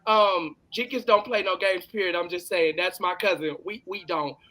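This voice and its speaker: Bright-voiced Texan man hosting a chat. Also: high voice, normal speed. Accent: Texas. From California, long settled in Texas. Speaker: talk show host. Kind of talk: casual live-stream interview chat.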